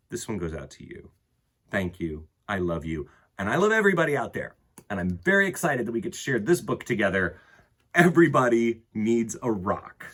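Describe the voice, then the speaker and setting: A man with a husky voice reading a picture book aloud to children in an expressive way, with dramatic pauses and emphasis. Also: fairly low pitch. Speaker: children's storytime host and reader.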